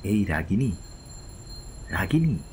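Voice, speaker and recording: An actor as a considerate middle-aged husband in an audio drama. His voice is deep and expressive, over some background noise. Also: slow pace, heavily acted. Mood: gentle. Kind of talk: audio story acting.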